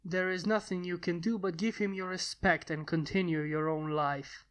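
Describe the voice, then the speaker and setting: A woman's voice: on the low side, steady tone, moderate pace, close to an everyday voice. A woman voicing a stern, blunt male lord who speaks solemnly and commandingly in a fantasy story.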